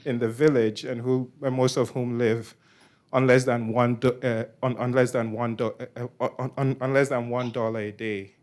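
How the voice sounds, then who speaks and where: A man from Nigeria presents informally as the host of a meetup. His delivery is halting, and he often repeats words and restarts phrases as he explains. His voice sits at a medium pitch. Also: level tone, darkish tone. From Nigeria, West Africa.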